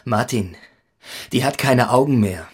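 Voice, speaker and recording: An actor playing a shaken, inexperienced young policeman, a man with a hesitant, husky delivery in a radio drama. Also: mid-range voice, measured pace. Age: young adult. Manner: hesitant, unsettled.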